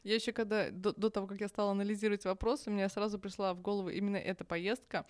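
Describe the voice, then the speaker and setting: Fast-talking woman telling a travel story. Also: mid-range voice, no echo. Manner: fast, lively storytelling with many restarts and laughs. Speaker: podcast guest. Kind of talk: casual conversation.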